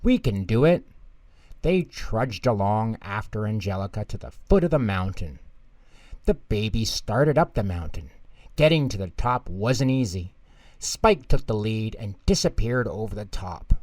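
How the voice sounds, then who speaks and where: Male bedtime story narrator, somewhat low voice, unhurried pace, expressive delivery. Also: no echo. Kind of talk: scripted children's storybook narration.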